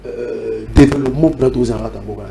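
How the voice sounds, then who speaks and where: A man's voice: mid-range voice, a little fast, creaky, dark tone. A Congolese man with a Congolese accent, talking animatedly in a debate and teasing as he mixes French with Lingala. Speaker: debate participant. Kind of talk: lively debate talk.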